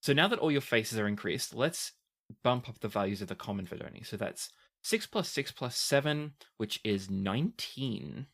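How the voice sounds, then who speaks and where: A man with a medium-pitched voice runs a tabletop roleplaying game. He narrates scenes and explains rules in a deliberate way, as a game master does. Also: animated, vocal fry, fairly bright tone.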